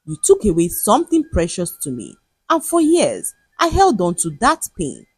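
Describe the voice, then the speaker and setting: A woman voicing a hurt, stern man in a folktale, calm but firm and measured. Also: medium pitch, breathy edge, no echo, faint music behind, exaggerated voice.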